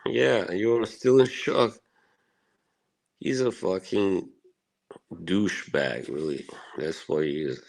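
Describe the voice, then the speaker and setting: Slow, very deep-voiced man from Bosnia. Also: gravelly, a little mellow, poor audio. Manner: rambling and profane, full of "you know" and short laughs. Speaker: live streamer talking to his chat. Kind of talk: casual rambling chat.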